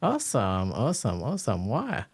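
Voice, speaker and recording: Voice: mid-range voice, wildly swooping pitch, normal speed, slightly rough. A casual interview host with a mid-range voice. The host chats loosely, filling in with "you know what I mean" and "like" while asking questions. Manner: casual and chatty, with many fillers.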